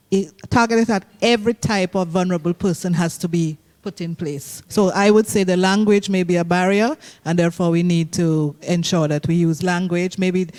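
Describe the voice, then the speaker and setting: Man, very high pitch, panelist, earnest, emphatic, video call. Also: a little mellow. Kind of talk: academic panel discussion.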